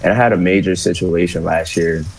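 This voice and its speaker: Give me a young man from Washington, D.C. with a very deep, husky voice, chatting casually as a radio guest. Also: brisk, low-quality recording. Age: young adult. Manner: relaxed, lots of "like" and "um" fillers. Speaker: phone-in guest. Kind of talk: casual phone conversation.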